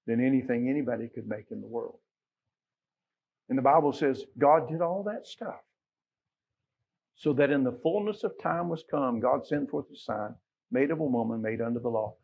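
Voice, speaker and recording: A middle-aged American man preaching a Baptist sermon, emphatic, moving between quiet asides and loud exclamations and quoting scripture. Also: medium pitch, creaky, husky, narrow, tinny audio. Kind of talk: Sunday sermon with scripture quotations. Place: small church sanctuary, at the pulpit.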